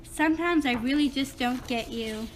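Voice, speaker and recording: A woman's voice: sits high, moderate pace, slight background noise, natural voice. A woman playing a matter-of-fact young girl in a children's puppet show, speaking in an earnest, plain-spoken way. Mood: questioning.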